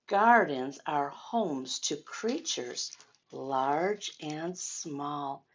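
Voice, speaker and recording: A woman's voice: low voice, lively intonation, drawn-out words, lo-fi sound. An older woman doing a read-aloud story time for children, speaking directly to young listeners and explaining as she goes. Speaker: storyteller reading a picture book to children.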